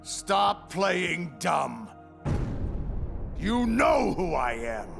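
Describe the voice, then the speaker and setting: A condescending villain, leader of rebels in a video game. He taunts theatrically, slow and gravelly, with wide swings in pitch. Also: big pitch swings, over music, full character voice. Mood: scornful.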